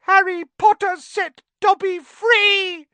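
A man voicing a small, excitable male house elf with a shrill, squeaky voice, full of devotion. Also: slow, dry recording, full character voice. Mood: eager. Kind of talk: audiobook character voice.

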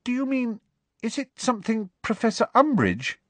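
A man voicing a timid teenage schoolgirl who speaks in a hushed voice. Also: very high voice, big pitch swings, moderate pace, dry recording, pitched above the performer's own voice.

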